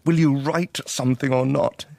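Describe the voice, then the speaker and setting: An actor playing a shaken, self-important English husband and writer in a radio drama, speaking in urgent, stammering, broken phrases with an English accent. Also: male voice, medium pitch, steady tone, normal speed, vocal fry, darkish tone, natural voice. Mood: brooding, bitter.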